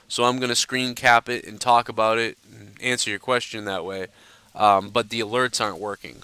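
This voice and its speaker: A young man hosting a live stream and answering viewer questions in a loose, profane, blunt way, his nose a bit stuffed up so he sounds slightly nasal. His voice is bright and clean, and the recording is dry, with no room echo. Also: lowish voice, clear, resonant tone. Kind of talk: casual live-stream chat.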